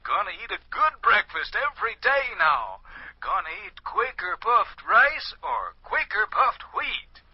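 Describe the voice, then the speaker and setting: A muffled old radio recording of a weary ghost. The voice is high and husky, dragging its words out plaintively like a mild soul badly in need of food and rest. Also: male voice, very animated, unhurried, no echo, full character voice. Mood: mild, slow. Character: tired, hungry, mild-mannered Halloween ghost named Pete. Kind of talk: 1940s radio advertising skit.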